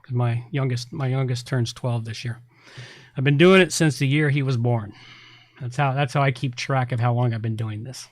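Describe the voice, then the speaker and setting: A man's voice: mid-range voice, fairly even intonation, normal speed, no room sound. A middle-aged man hosting a podcast, talking casually in a rambling, blunt, informal way. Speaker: podcast host and website consultant. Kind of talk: casual tech podcast talk.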